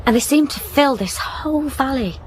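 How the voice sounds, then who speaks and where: An actress playing a recently widowed young woman in a radio drama, speaking softly and hesitantly, curious and tender. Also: high-pitched, expressive, normal speed, a little hiss or hum. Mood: wistful. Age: young adult. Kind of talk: naturalistic radio drama acting.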